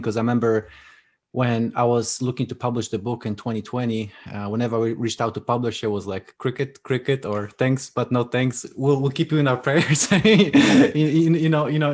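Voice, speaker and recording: A man whose first language is Polish, a guest author talking casually in an interview, often restarting and repeating words. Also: mid-range voice. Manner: hesitant, repeats words, many fillers.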